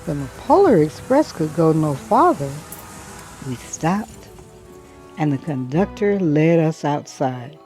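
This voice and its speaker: A woman reading a children's story aloud expressively, with measured, dramatic phrasing and pauses. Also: deep voice, sing-song, mellow, faint music behind. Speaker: children's book reader.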